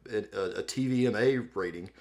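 Low-voiced man, wry podcast co-host. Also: normal speed, a little mellow. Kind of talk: casual comedic fan discussion.

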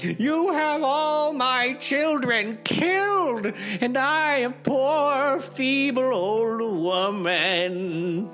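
A man voicing a bitter, vengeful old witch in a storybook tale, wailing out drawn-out, melodramatic curses. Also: very high voice, fairly bright tone, faint music behind, voice pushed up, full character voice. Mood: cursing, self-pitying. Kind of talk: storybook character voice.

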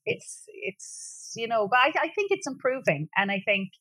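A woman's voice: a little high, very animated, moderate pace, fairly bright tone, no room sound. A young Irish woman with a Kerry accent, a singer talking thoughtfully in a casual interview, full of fillers and restarts. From Ireland. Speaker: singer and guest.

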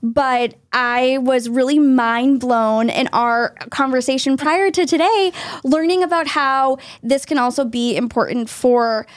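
A woman's voice: high voice, a little slow, dry recording. A woman hosting a podcast, chatting in a bubbly, enthusiastic way with her guest. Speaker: podcast host. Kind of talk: casual interview chat.

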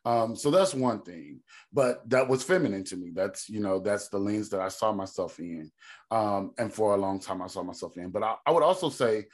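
A man in his thirties from Texas with a deep voice, a podcast guest telling his story in a reflective way and thinking aloud with many ums. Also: lively intonation, normal speed.

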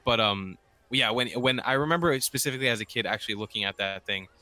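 A man's voice: medium pitch, fast, bright, forceful tone. A man chatting informally and enthusiastically on a podcast, often correcting himself as he goes. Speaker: podcast guest or contributor. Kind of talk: casual podcast chat.

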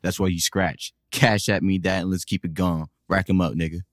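A man's voice: low voice, little pitch movement, moderate pace, no echo, clean studio sound. A man playing a cocky but guarded single guy in a casual audio drama, talking in boastful, skeptical slang over a game of pool. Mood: doubtful, ribbing.

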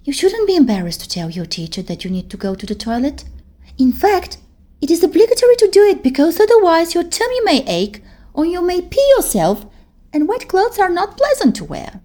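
Kind nine-year-old girl, reassuring, high and animated; woman reader voicing her. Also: fairly quick. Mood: explaining. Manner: reassuring, explaining.

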